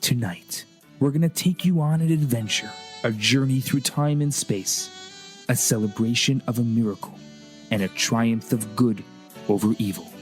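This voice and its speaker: A man's voice: mid-range voice, moderate pace. A man co-hosting a radio special as a rabbi, delivering scripted storytelling narration. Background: music.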